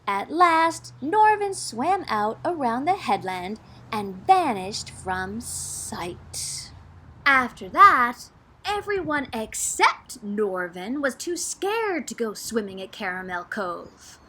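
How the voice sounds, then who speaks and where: High, bright-voiced woman reading theatrically. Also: big pitch swings, slow, rough recording. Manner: theatrical, dramatic emphasis. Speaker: drama teacher reading aloud. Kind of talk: expressive storybook read-aloud.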